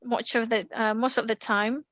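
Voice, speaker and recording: A young woman with an Indonesian accent, giving a lively online class talk with many ums and restarts. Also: a little high, moderate pace, no echo, dull audio. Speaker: community speaking presenter. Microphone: computer mic on a video call.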